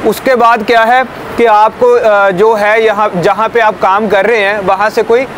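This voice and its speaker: Young male trainer, higher voice, patient explainer, recorded outdoors by a camera a few meters off with light street noise. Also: level tone, measured pace, fairly bright tone. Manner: explanatory, checks understanding with "ठीक है" and "समझ आई है?". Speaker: safety trainer. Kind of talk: hands-on safety demonstration. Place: outdoors beside a building, small group of trainees.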